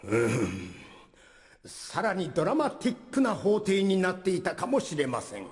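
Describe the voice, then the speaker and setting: An actor playing an amiable, doddering old judge in a comic courtroom skit, speaking ceremoniously and theatrically. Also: male voice, sits high, wildly swooping pitch, moderate pace. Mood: musing, playful. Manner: ceremonious, theatrical, absent-minded. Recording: reverberant concert hall, faint music.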